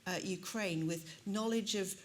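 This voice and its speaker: A woman's voice: mid-range voice, a little slow. A woman moderating a live panel, speaking in a chatty, emphatic way and rephrasing as she goes. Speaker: session moderator.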